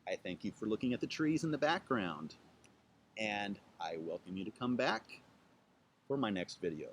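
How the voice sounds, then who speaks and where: Chatty man with a lively voice. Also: on the low side, slight background noise. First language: English. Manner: chatty, playful, spells words out slowly. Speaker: YouTube channel host. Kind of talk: casual self-introduction to camera. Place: outdoors with trees behind.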